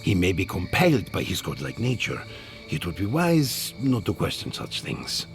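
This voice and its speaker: A measured male scholar from a fantasy game, dry and articulate. His voice sits fairly low and swings expressively through formal phrasing, with music beneath. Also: huge pitch swings, creaky, full character voice. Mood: grave, persuasive. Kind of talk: video game dramatic acting.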